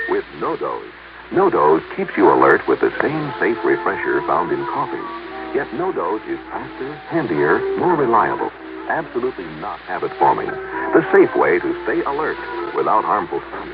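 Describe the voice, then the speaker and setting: A man's voice: sits low, wildly swooping pitch, normal speed, creaky. A man reading a 1950s radio commercial as an announcer, with emphatic sales delivery. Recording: tinny old radio recording, loud music.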